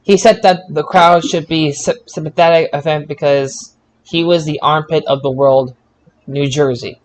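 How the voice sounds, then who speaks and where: A man's voice: a little high, fairly even intonation, moderate pace, slight background noise, low-quality recording. A man co-hosting a podcast, recapping shows in an informal, bantering way and stumbling over his words now and then. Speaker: podcast co-host. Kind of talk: casual wrestling show recap.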